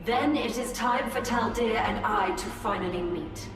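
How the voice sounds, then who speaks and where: An actress playing a resolute Eldar seer who plans and reflects with solemn care in a game cutscene. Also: female voice, on the high side, close to an everyday voice. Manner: solemn, deliberate planning. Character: resolute Eldar seer and leader, female adult. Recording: echoey hall with game music.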